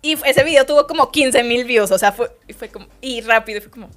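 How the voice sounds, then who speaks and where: Woman guest, slightly high voice, lively anecdote-teller. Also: brisk, faint music behind. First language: Spanish. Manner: lively storytelling, quotes others' words. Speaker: interview guest. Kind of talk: casual interview chat.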